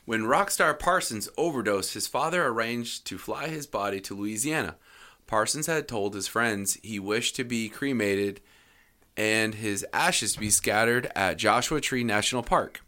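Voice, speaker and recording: Bright-voiced man, crude joking host. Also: on the low side, measured pace. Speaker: podcast co-host. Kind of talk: casual chat, reading trivia cards.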